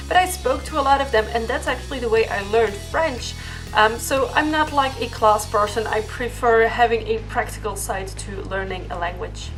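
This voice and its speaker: High-voiced young woman. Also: low-quality recording. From Belgium. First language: Dutch. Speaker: vlogger. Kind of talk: casual question-and-answer vlog to camera.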